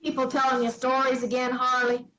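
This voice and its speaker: An actress reading the part of a sharp-tongued farm matriarch in a staged play reading, curt and defiant. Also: female voice, high-pitched, monotone, a little fast, highs cut off. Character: hard-bitten farm matriarch, older woman, sharp-tongued.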